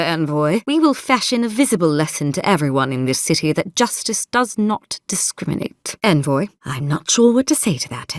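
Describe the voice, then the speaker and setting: A woman voicing a stern, haunted imperial captain in a video game, with formal, deliberate wording. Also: fairly low pitch, dry recording, studio quality. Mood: cool, condescending. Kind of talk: scripted game character dialogue.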